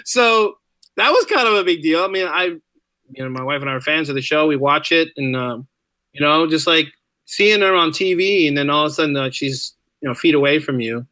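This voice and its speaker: A man speaking casually as a podcast guest, telling stories in an animated, rambling way. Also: fairly high pitch, fairly quick, no echo. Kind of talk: casual interview chat.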